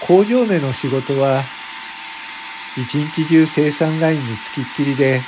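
A man's voice: fairly high pitch, measured pace, background noise, close to an everyday voice. A man playing a self-conscious factory worker turned popular voice streamer, narrating a confessional radio drama monologue. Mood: downcast, resigned. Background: background music.

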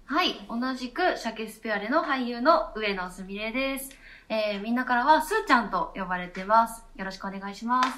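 A woman's voice: a little high, animated, brisk, fairly bright tone. A woman, an actress and co-host, chatting casually with her colleagues. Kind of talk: casual chat.

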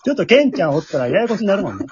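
A man with a Kansai accent hosting a casual chatty show among friends, teasing and quick to laugh, recorded remotely from home. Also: high voice, big pitch swings, highs cut off, crowd noise. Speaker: leader of an amateur drama group, hosting.

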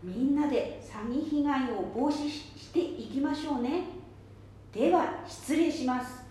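A woman acts as a patient community advisor in an amateur radio play, explaining politely. Her voice is fairly high and soft-edged, very mellow in tone. It echoes strongly around the room. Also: a little slow. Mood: patient, advising. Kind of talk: amateur radio drama, instructive. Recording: very echoey room, faint noise.

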